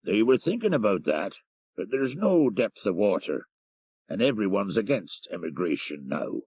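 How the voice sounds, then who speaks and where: A man's voice: lowish voice, big pitch swings, normal speed, a little mellow, husky, no room sound, lo-fi sound. A man voicing a deferential, folksy Irish country driver telling local tales in an audiobook. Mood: deferential, chatty. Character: rural Irish jarvey driver, deferential, gossipy storyteller. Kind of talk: audiobook character reading.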